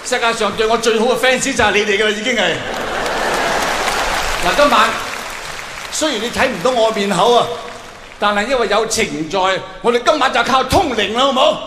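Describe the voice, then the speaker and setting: A middle-aged Hong Kong Cantonese man doing live stand-up, chatty and colloquial, putting questions straight to a big audience. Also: high-pitched. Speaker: stand-up comedian. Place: large indoor arena stage. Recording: echoey arena PA, long reverb, noisy.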